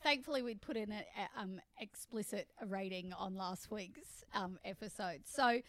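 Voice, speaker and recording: Female co-host, mid-pitched, dry close mic, upbeat chatty delivery. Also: normal speed, fairly bright tone, no echo. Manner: chatty, enthusiastic, with frequent "um". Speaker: podcast co-host. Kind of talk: casual chat.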